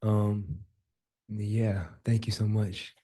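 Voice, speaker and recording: A young man with a raspy voice giving a short, hesitant thank-you speech as a student award recipient. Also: fairly low pitch, little pitch movement, extremely slow, mellow. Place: school board meeting room, speaking at a microphone.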